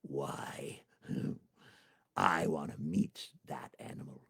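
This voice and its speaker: A man voicing a proud, gruff male tiger who speaks slowly and menacingly. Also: very deep voice, pushed and bright, pitched below the performer's own voice, heavily acted. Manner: slow, menacing, growly.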